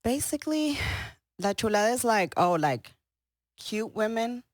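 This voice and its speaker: A woman's voice: fairly high pitch, big pitch swings, unhurried, no echo, clean studio sound. A young woman from Colombia answering interview questions in a friendly way, laughing easily. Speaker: guest, model and TV host.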